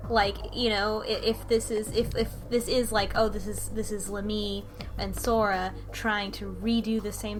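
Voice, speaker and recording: Woman theorizing aloud over clear music. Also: medium pitch. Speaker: podcast panelist. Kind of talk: casual analytical discussion. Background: background music.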